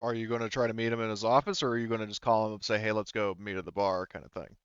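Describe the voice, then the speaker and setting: Low, smooth-voiced game master, slightly muffled. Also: male voice, little pitch movement, rapid-fire, dry recording. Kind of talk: casual tabletop game narration.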